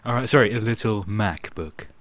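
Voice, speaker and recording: A man's voice: lowish voice, moderate pace, fairly bright tone, dry recording. A man from the Netherlands with a Dutch accent, co-hosting a podcast in casual banter over a voice call. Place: remote voice call.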